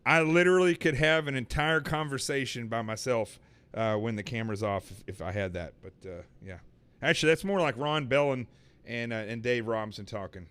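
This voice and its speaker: A man's voice: medium pitch, a little fast, fairly bright tone, clear, resonant tone. Rambling sarcastic male show host. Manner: rambling, sarcastic, lots of fillers. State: sore throat. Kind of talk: casual livestream monologue. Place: studio in Manhattan.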